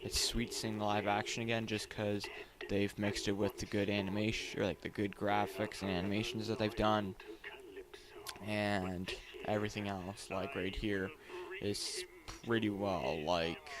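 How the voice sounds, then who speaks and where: A man giving casual, unscripted video game commentary, rambling and halting as he goes, as a reviewer. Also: lowish voice, steady tone, a crowd in the background, low-quality recording. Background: game cutscene audio and music.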